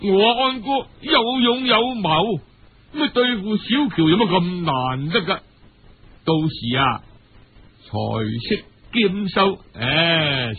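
A man voicing a flattering, scheming friend with a Cantonese accent, cajoling and colloquial, in a storytelling piece. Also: fairly high pitch, phone-quality sound, slight background noise.

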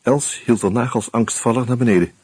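An actor playing a wry first-person narrator in a Dutch radio drama, telling the story in a dry, measured way. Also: male voice, mid-range voice, a little mellow, slightly rough, no room sound. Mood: wry, matter-of-fact.

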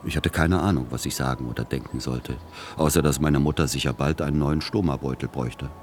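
Very deep-voiced, weary middle-aged son. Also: male voice, steady tone, fairly quick, a little mellow, slight background noise. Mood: resigned, reflective. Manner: resigned, introspective. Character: weary, submissive middle-aged writer son, resigned narrator. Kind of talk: radio play narration and dialogue.

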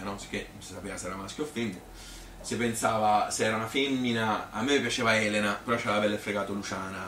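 A man's voice: lowish voice, bright, forceful tone. A man playing a plain-spoken Tuscan mother who reminisces in a rambling, hesitant, conversational stage monologue full of self-questioning. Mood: reminiscing. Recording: roomy, distant stage recording with noise.

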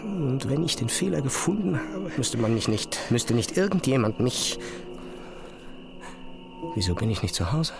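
An actor playing an obsessed, haunted physicist, thinking aloud in an intense, fragmented, brooding inner monologue for an audio drama. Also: male voice, mid-range voice, sing-song, unhurried, gravelly, pauses often, over loud music. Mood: brooding, feverish.

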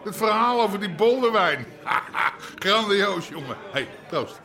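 High-pitched, brash crime boss. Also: male voice, lively intonation, slow, bright, forceful tone, heavily acted. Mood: boisterous, chummy. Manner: blustering, colloquial. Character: brash, boisterous Amsterdam underworld boss. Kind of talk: radio drama acting.

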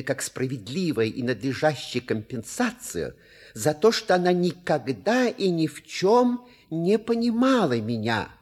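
An actor playing a suave, wry manservant with polite, measured, theatrical delivery in a stage comedy audio play. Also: male voice, a little high, husky. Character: suave, wry manservant reading out a scandalous letter.

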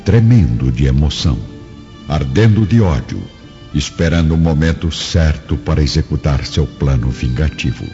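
A man's voice: rumbling low, animated, normal speed, highs cut off, music in the background. A man narrating a radio drama from a script in a solemn, theatrical way.

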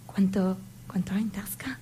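A young woman performing a theatrical radio monologue, shifting from hushed whispers to loud declamation. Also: medium pitch, little pitch movement, normal speed, a little hiss or hum. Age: young adult.

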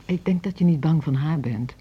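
A woman acting in a radio drama as a concerned friend, speaking in a gentle, earnest and reassuring way with a husky voice. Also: deep voice, moderate pace. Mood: reflective, supportive. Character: concerned, soothing, reflective female friend. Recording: dull, muffled old radio recording.

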